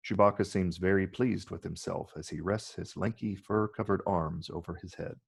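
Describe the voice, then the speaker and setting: Deep raspy guy reading out script directions, lots of fry in his voice. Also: steady tone, normal speed. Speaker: narrator reading stage directions. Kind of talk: scripted narration.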